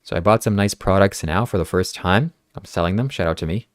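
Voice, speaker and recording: A man's voice: low-pitched, brisk, no echo, studio quality. A man whose first language is Ukrainian, hosting a casual podcast and earnestly reading viewer comments aloud. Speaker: podcast host. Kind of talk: casual livestream podcast chat.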